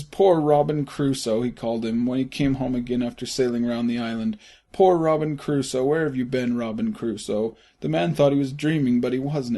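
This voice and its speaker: A man voicing an old miser caught between laughing and crying, excited and tearful. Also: mid-range voice, creaky, breathy edge.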